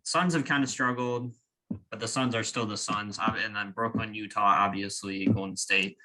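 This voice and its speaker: A young man co-hosting a casual sports podcast, talking in an animated, joking way with quick opinions. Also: lowish voice, low-quality recording. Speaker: podcast co-host.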